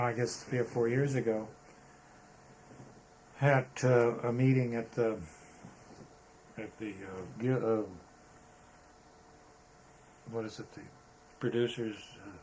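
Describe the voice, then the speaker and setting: Older American man reminiscing, noisy recording. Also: mid-range voice. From United States (Arizona). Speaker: interviewee, retired-era Hollywood photographer. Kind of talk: casual anecdotal reminiscence. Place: photographer's studio, indoors. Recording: noisy room ambience.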